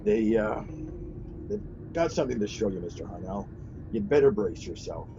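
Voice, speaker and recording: Gravelly, stolid old-radio policeman. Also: fairly low pitch, very animated, normal speed, dark tone, a little hiss or hum. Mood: grave, hesitant. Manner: hesitant, plain-spoken, official. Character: stolid, grave male police officer breaking bad news. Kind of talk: 1940s radio acting. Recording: dull, lo-fi 1940s radio recording.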